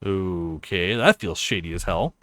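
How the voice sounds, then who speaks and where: A man's voice: sits low, expressive, unhurried, fairly bright tone, no room sound, clean studio sound, voice pushed down. Man reading as wry, long-suffering game protagonist. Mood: wary, sarcastic.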